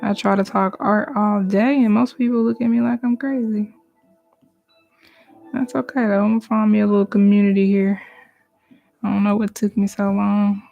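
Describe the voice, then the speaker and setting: A woman's voice: medium pitch, moderate pace, creaky, pauses often, muffled recording, soft background music. A woman chatting casually to viewers during a live drawing stream, easygoing and sometimes dropping to a whisper. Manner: relaxed, chatty, talks to viewers while working, drops to whispers.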